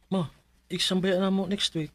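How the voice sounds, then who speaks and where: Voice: medium pitch, flat delivery, moderate pace, fairly bright tone, soft background music, close to an everyday voice. An actor with a Cebuano Filipino accent playing a worried, dutiful student child in a radio drama. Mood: worried, hesitant.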